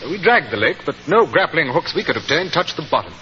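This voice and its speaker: An actor playing an earnest, fretful lord facing ruin, well-bred, in 1940s radio drama style. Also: male voice, fairly high pitch, big pitch swings, fairly quick, bright, forceful tone. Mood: informative. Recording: muffled, noisy 1940s radio recording.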